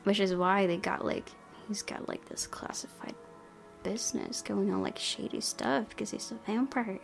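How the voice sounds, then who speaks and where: A woman's voice: mid-range voice, moderate pace, creaky. A woman hosting a gaming video, reading a visual novel aloud and mixing in chatty, giggly asides. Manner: giggly, chatty asides between narrated passages. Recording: loud music behind the voice. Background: loud game music.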